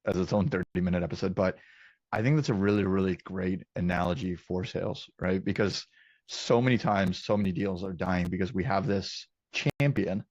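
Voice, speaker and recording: A man's voice: deep voice, moderate pace, a little mellow, dry recording, dull audio. A man hosting a casual business interview, talking in an encouraging, affirming way. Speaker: interview host, company founder.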